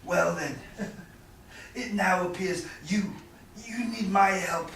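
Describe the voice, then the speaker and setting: A man acting a bitter, sly moneylender in a Shakespearean staged reading, with a hesitant, drawn-out, chuckling delivery. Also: sits high, fairly bright tone, exaggerated voice. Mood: bitter, mocking. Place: live staged reading in a reverberant room. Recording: echoey room, distant mic, faint noise.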